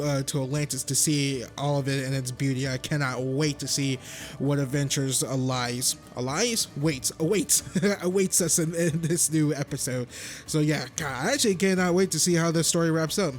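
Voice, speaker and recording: A man talking through a video game for his channel, rambling and chatty and often correcting himself mid-sentence. His voice sits a little high for a man. Game music plays faintly behind him. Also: normal speed. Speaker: gaming YouTuber. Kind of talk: casual gameplay commentary.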